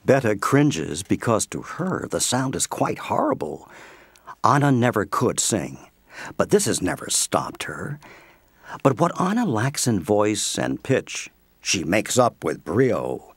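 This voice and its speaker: A man narrates an audio play as its presenter. His voice is low and husky, moving expressively through theatrical, deliberate phrases, with a dry studio sound. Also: big pitch swings, no room sound. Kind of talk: scripted audio drama narration.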